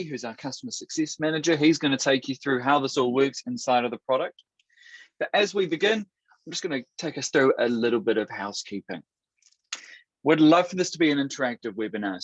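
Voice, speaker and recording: A New Zealand man with a Kiwi accent presents a product webinar over a video call. His delivery is upbeat and steady, with the odd restart. The audio is dry and a bit dull. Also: mid-range voice, dry recording. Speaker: webinar host.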